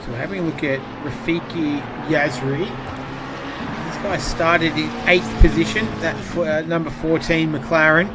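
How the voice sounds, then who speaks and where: A man with a slightly high voice calls a motor race live. He keeps a measured, factual delivery as a commentator. Loud car engines run behind him throughout. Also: fairly even intonation, heavy background noise. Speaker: sim racing commentator.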